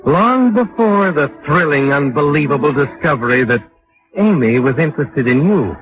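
An actor playing a sardonic, taunting narrator in dramatic 1940s radio mystery style. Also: male voice, a little high, lively intonation, normal speed, close to an everyday voice. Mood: mocking, insinuating. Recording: dull vintage radio recording, music behind.

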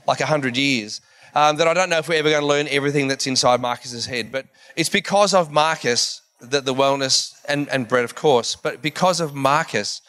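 A man's voice: a little high, sharp tone. A man hosting an event, introducing a speaker in a loose, rambling way. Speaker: event host.

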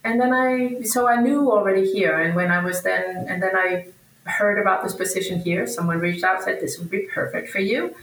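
A woman in her fifties from Denmark, a native Danish speaker, answers interview questions conversationally, thinking aloud in a halting, hesitant way. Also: medium pitch, a bit bright. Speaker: podcast guest, researcher and academic. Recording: roomy echo, distant from microphone.

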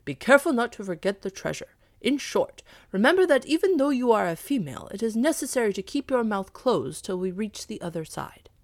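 A woman's voice: fairly high pitch, very animated, brisk, hardly any pauses, no echo, pitched above the performer's own voice. A woman voicing a stern, bossy dog in a children's story, admonishing a companion emphatically. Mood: cautioning. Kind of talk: children's animal storybook character.

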